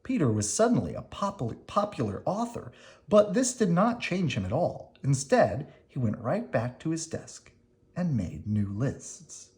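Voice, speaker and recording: Children's read-aloud narrator: unhurried, expressive, mid-pitched, with swooping, lively intonation. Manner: expressive, deliberate reading with dramatic emphasis. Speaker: storybook reader explaining words to children. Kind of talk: reading a children's picture book aloud.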